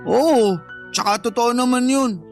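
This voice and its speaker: Jealous, sulky Filipino boyfriend. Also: male voice, very high voice, a little slow, gravelly, soft background music, exaggerated voice. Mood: jealous, defensive. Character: jealous, sulky young father confronting his partner. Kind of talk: radio drama acting.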